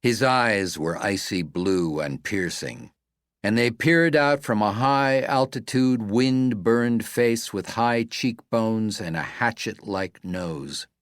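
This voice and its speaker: A man reading a novel as an audiobook narrator, in a measured, deliberate way with falling phrase endings. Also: fairly low pitch, dry recording, clean studio sound.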